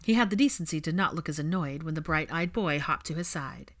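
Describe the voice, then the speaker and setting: A woman's voice: low voice, animated, a little fast, a bit bright. A woman narrating fan fiction as scripted audiobook storytelling.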